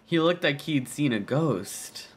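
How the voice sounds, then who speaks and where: A man's voice: on the high side, animated, takes it slowly, breathy edge, faint music behind. A man casually reacting live to a video game, anxiously repeating himself. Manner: repeats words over and over when anxious.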